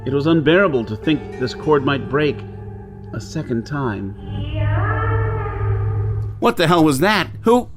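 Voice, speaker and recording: A man's voice: fairly high pitch, very animated, a little slow, long pauses. An actor playing a desperate outlaw hero on Mars, telling his ordeal in intense, theatrical first-person narration. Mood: brooding, anguished. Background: dramatic music and soundscape.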